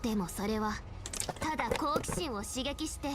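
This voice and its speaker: A woman's voice: fairly high pitch, quick pace, close to an everyday voice. An actress voicing a curious, wary young swordswoman in an anime-style video game, reasoning things out reflectively. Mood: wary, pondering. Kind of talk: Japanese anime game voice acting. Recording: game playback under loud music.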